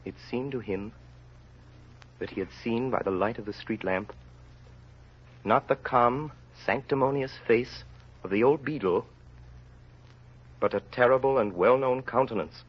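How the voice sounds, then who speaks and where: A man narrating a story in old-time radio style, with solemn, theatrical, deliberate phrasing. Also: mid-range voice, breathy edge. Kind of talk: 1930s radio dramatic storytelling. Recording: dull lo-fi old radio recording.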